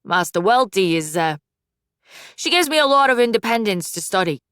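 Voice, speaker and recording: A woman's voice: medium pitch, sing-song, normal speed, a bit bright, clear, resonant tone, no echo, clean studio sound. A woman voicing a guilty eighteen-year-old son who hides his troubles behind forced cheer. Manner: hesitant, covering with forced cheer. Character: young man of eighteen, guilty and evasive.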